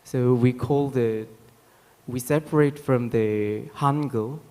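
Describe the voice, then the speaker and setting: A man's voice: mid-range voice, takes it slowly, a little mellow. A man with a Korean accent speaking spontaneously as a guest on a live panel. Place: hall on a stage, live event. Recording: echoey hall sound.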